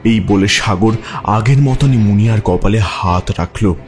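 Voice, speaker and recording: Guy reading a scary audio story, low voice, theatrical suspense, music going behind him. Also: a little slow. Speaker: story narrator. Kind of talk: dramatic scripted horror narration.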